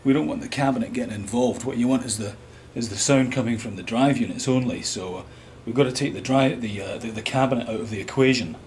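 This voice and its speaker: A man in his fifties with a Scottish accent informally presenting a product as an exhibitor, explaining in a hesitant, unhurried way. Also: medium pitch, a little mellow. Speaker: loudspeaker company exhibitor. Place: small hotel exhibition room, indoors. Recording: roomy hotel room, light background noise.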